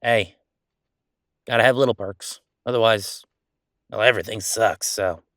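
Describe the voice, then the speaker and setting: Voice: medium pitch, a little slow, a bit bright, no echo, clean studio sound, lightly acted. An actor playing a chatty, sardonic ghost roommate in a roleplay audio, talking in a casual, teasing, exclamatory way. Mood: wry, chatty. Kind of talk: roleplay audio acting, conversational.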